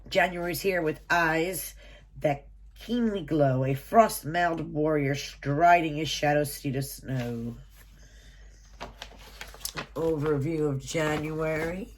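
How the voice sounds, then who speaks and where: A low-voiced woman speaks slowly through a stuffy, nasal head cold. She reads out item lists and prices with casual asides, recorded in a small room at home with a steady hiss of background noise. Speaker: subscription box reviewer. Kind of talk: casual unboxing, reading from a booklet.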